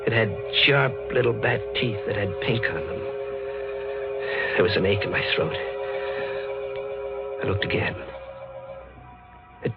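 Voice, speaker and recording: A tough, frightened convict on the run in 1940s radio style. His talk is slangy and breaks into stammers when rattled. The recording is dull and old, with music loud behind him at times. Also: lowish voice, measured pace, creaky, long pauses. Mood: haunted narration. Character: tough, frightened male escaped convict narrating his nightmare. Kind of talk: 1940s radio mystery acting.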